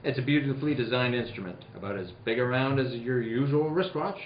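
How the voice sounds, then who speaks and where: A man's voice: medium pitch, a little fast. Echoey man hosting and announcing. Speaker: host and announcer. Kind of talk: casual hosting and hammy 1940s radio ad reading. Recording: echoey room, muffled, noticeable noise.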